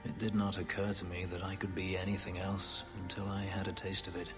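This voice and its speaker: A very low, grave man's voice acted as a bitter elven fugitive in a fantasy game. He speaks in solemn, measured phrases with a guarded weight. Long reverb trails the voice and music plays clearly behind it. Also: level tone, close to an everyday voice. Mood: somber, guarded. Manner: measured, solemn, halting confession. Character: brooding male elf fugitive, a former slave, bitter and guarded. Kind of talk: dramatic video game acting.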